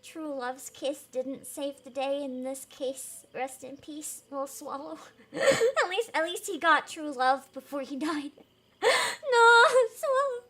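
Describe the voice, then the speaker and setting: A woman's voice: high voice, lively intonation, slow, sharp tone. A woman reading a fairy tale aloud as host, in an emotive, giggly way with casual reactions.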